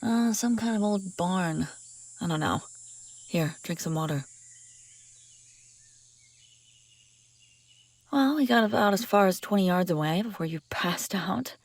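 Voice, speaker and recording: A woman's voice: medium pitch, animated, normal speed, dry recording. A woman playing a brusque, sardonic but secretly caring female bounty hunter in a scripted fantasy audio roleplay, speaking straight to the listener. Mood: dry, caring. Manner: sarcastic, teasing, often dropping to hushed urgency.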